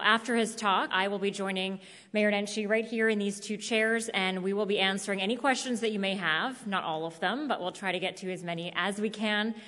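A woman's voice: mid-range voice, fairly bright tone. Woman moderating in an echoey hall. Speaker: moderator, TV news reporter. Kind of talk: prepared introduction speech to an audience. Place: large indoor auditorium stage. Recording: echoey hall with long reverb.

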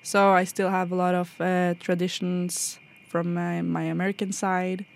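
A woman's voice: on the low side, level tone, measured pace, vocal fry, slight background noise. A woman from Norway co-hosting a podcast in a radio studio, chatting casually with hesitant restarts. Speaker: podcast co-host.

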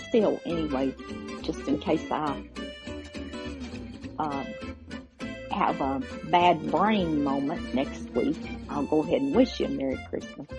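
Woman doing podcast chat, slow n rambly, kinda hesitant, guitar music under her. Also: sits low. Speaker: podcast host. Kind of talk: casual chat to listeners. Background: plucked guitar music.

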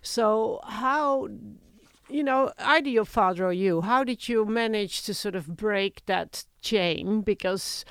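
A woman's voice: medium pitch, fairly bright tone, smooth, no room sound, studio quality. A woman co-hosting an interview who introduces the guest and jumps in with pointed questions. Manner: brisk, articulate, jumps in. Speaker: co-host.

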